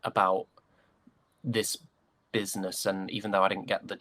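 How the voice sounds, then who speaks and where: A man's voice: sits low, little pitch movement, moderate pace, sharp tone. A man giving casual interview answers as a guest, thoughtful and hesitant. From United Kingdom.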